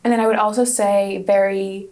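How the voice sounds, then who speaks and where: A woman's voice: medium pitch, level tone, moderate pace, a little mellow. A woman in her twenties, a student guest in an interview, a native Hungarian speaker answering in a chatty, upbeat way with frequent restarts. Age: 20s.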